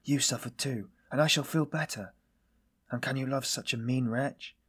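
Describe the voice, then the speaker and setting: A man playing a weary, self-loathing man in audiobook dialogue, confessing in a brooding, bitter, halting manner. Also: mid-range voice, fairly bright tone, slightly rough, voice pushed up. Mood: anguished.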